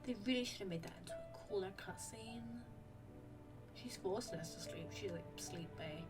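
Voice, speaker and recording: A woman's voice: medium pitch, normal speed. A woman giving casual, playful, slangy reaction commentary as she plays a story game. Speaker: gaming content creator. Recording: loud game music behind. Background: loud game music.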